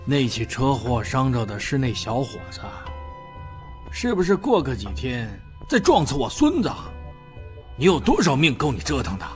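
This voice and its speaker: A shrewd old grandfather in a Chinese radio play, with a middling-pitched voice. He drawls folksy, rhetorical threats with stern authority. Light music plays underneath. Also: wildly swooping pitch, normal speed. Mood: stern, menacing. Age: older. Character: wealthy old grandfather, shrewd, protective, quietly menacing. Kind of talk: Chinese audio drama acting. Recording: music behind the voice.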